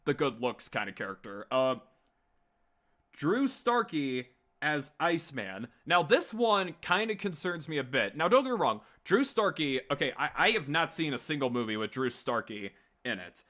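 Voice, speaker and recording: A man's voice: fairly high pitch, sing-song, moderate pace, bright, forceful tone. A man giving casual, rambling fan commentary as a livestream host, blunt and prone to swearing. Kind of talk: casual opinionated fan commentary to a live chat.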